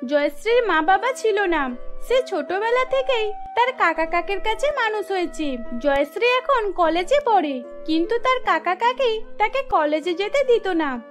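Very high-pitched woman narrating a story. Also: expressive, unhurried. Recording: music behind the voice.

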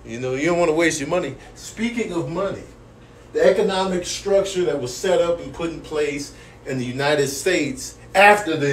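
Pompous, exasperated male lecturer acted by a comedian, fairly high voice, echoey room, comic sketch. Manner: pompous, halting, drawn-out. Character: exasperated, teasing economics lecturer. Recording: echoey room, faint noise.

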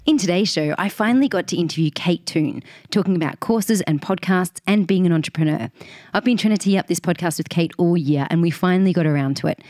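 A woman hosting a marketing podcast, upbeat and chatty, with a slightly croaky voice today. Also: lowish voice, fast talker, a little mellow. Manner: upbeat, scripted intro then chatty questions. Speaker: podcast host. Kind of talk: podcast interview.

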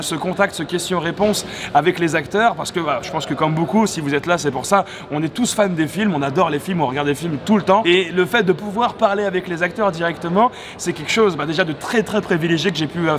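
A man's voice: high voice, noisy background. A man in his thirties from France, chatting casually and laughing as he recaps an interview experience. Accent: French. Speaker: YouTuber interviewer. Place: bright room with large window.

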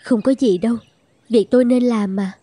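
An actress playing a polite, soft-hearted, emotional young woman in an animated cartoon, with earnest delivery. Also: a little high, normal speed, no room sound, close to an everyday voice. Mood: gentle. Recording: music behind.

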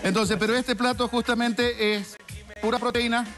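Male chef, higher pitch, calm and explanatory, studio with music underneath. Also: normal speed. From Argentina. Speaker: chef guest. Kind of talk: cooking demonstration chat.

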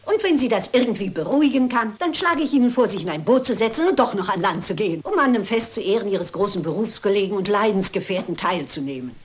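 An actress playing a shrewd, composed elderly lady detective in a German radio play, polite, measured and probing. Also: mid-range voice, expressive, natural voice. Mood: kindly, firm. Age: older.

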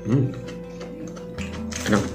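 A man in his thirties chatting casually as he unboxes and tastes hotel breakfast food, recorded by a camera at the table in a small hotel room. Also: extremely slow. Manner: chatty, describes food while eating. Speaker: food vlogger. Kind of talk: casual food review vlog. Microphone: camera at table distance. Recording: echoey room with music behind. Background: background music.

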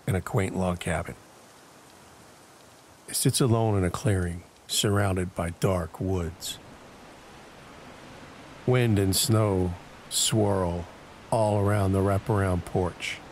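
A man narrating an audio drama in a deliberate, brooding way, pausing between short phrases for dramatic weight. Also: sits low, a little mellow, a little hiss or hum.

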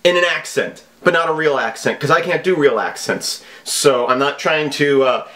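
A man's voice: a little high, normal speed, bright, forceful tone. A man in his thirties giving casual, wry, mock-earnest comic commentary as a video host. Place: small home office, indoors.

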